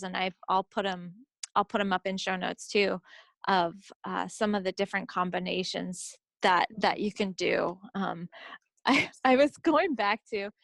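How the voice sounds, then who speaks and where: A middle-aged woman hosting a podcast, talking casually about products in an informal, halting way and often circling back to rephrase. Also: medium pitch, gravelly, no echo. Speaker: podcast host presenting yarn picks.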